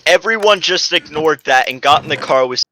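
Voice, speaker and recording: A man's voice: a little high, animated, fairly quick, pushed and bright, a little hiss or hum. A young man reading a story aloud to friends in an exasperated, stumbling way. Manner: exasperated, stumbling over lines. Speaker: reader of a fan story. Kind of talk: dramatic reading among friends, with asides.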